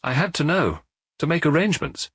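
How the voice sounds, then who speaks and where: Man acting a husky, anguished middle-aged man confessing. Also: a little high, big pitch swings, fairly quick, a bit bright, no room sound, clean studio sound, voice pushed up, exaggerated voice. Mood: confessing, desperate.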